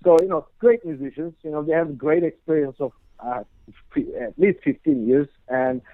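A man talks through a narrow, tinny phone line. His voice sits a bit high, and he rambles casually, leaning on filler words. The sound is dry, with no room around it. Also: normal speed, no room sound. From Turkey. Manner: rambling, heavy on "you know" and "like" fillers. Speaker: guest guitarist. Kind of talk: casual interview chat.

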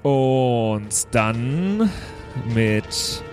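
A man's voice: mid-range voice, animated, drawn-out words, over music. A man talking casually as a player in a tabletop role-playing game.

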